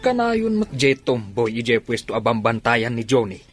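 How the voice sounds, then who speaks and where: A man's voice: mid-range voice, big pitch swings, moderate pace, soft background music, natural voice. A man playing a gossipy middle-aged father in a radio drama, questioning and incredulous. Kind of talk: Ilocano radio drama acting.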